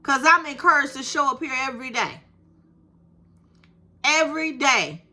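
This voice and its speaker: A woman in her fifties with a soft voice, talking straight to her viewers in a casual, encouraging way and calling them "baby". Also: high voice, moderate pace. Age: 50s. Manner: animated, encouraging, talks to viewers with "baby" and "you hear me". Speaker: YouTube creator. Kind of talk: casual direct-to-camera talk.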